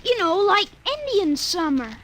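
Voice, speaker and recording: A woman playing an excited young boy in a 1950s radio drama, on a noisy old recording. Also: extremely high pitch, a little slow, exaggerated voice. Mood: eager.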